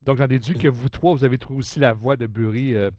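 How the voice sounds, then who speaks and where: A man's voice: medium pitch, steady tone, fast, a little mellow, no echo, lo-fi sound. A man with a Quebec French accent hosting a casual review chat in an informal, colloquial way. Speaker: podcast host. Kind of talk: casual chat, book and audio drama review.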